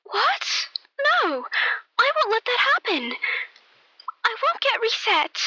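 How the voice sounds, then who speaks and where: A woman's voice: very high-pitched, wildly swooping pitch, slow pace, bright, forceful tone, no room sound, voice pushed up, exaggerated voice, low-quality recording. A woman voicing a naive, curious young woman in an amateur radio play, speaking in an earnest, emotive and hesitant way. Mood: defiant.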